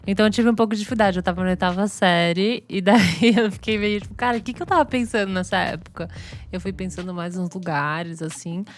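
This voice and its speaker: A woman in her twenties talking casually on a music podcast, bubbly and expressive, laughing often. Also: mid-range voice, quick pace, slight background noise. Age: 20s. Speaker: podcast co-host. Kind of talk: casual chat.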